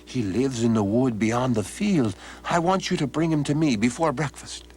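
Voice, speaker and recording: A man voicing a greedy old farmer who blusters and rages in a strained, high voice. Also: normal speed, a little mellow, slight background noise, close to an everyday voice. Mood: urgent.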